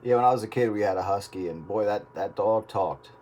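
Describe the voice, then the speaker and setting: Lowish-voiced man chatting on the radio. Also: a little fast, a little mellow, slight background noise. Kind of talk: casual chat over the radio.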